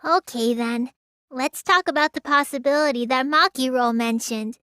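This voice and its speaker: A woman voicing a small, childlike teenage girl mage in a video game, high and girlish, sulky and excitable with a whiny edge. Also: normal speed, no room sound, clean studio sound. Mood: sulky, matter-of-fact. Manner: whiny, stammers when startled. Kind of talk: English game dub voice lines.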